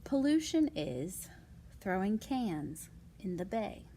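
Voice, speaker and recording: A woman with a medium-pitched voice reads children's non-fiction aloud. She breaks up the reading with casual asides and simple questions aimed at young listeners. There is some noise behind her voice. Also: very animated, slow. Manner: simple child-directed explanations and rhetorical questions.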